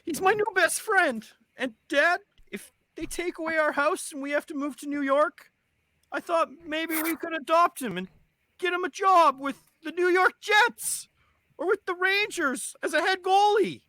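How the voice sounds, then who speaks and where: Young boy, eager and big-hearted, very high pitch, table-read delivery female performer. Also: normal speed, sharp tone, dry recording, poor audio. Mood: earnest, pleading.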